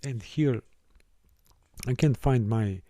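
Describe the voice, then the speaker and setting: A man from Serbia, teaching a course and walking through a software console, with careful, halting phrasing. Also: medium pitch, no echo, studio quality. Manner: careful, halting delivery with small restarts and non-native phrasing. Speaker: course instructor. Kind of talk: screen-recorded software tutorial.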